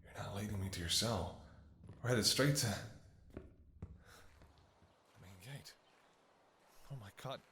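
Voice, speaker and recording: A man's voice: on the low side, slow pace, long pauses, fairly bright tone, slightly rough, exaggerated voice. A man playing a devoted, anxious doctor talking to a lover in an intimate ASMR audio roleplay, with a hesitant, emotionally raw delivery. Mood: wary, hushed.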